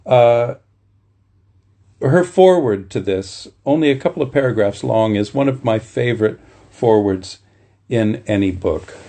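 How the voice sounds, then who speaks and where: A man reading literature aloud in a measured, deliberate way, with the informal touch of a bedtime story. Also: mid-range voice, dark tone. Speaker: reader at a bedtime story series.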